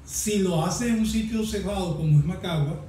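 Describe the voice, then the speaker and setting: A man with a very dark, slightly high voice explains things conversationally as a guest. He sounds off-mic, in a heavily reverberant room. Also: normal speed. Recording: very echoey, distant mic, muffled, faint noise.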